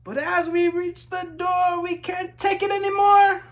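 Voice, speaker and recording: A man from New Mexico reading his children's book aloud in measured rhythm, as a storyteller talking to young listeners. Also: very high voice, little pitch movement, a bit bright. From New Mexico, United States.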